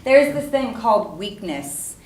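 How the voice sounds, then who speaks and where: A woman acting an expressive figure in a staged dramatic reading. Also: mid-range voice, unhurried. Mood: measured. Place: live staged reading indoors. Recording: distant, echoey room, faint noise.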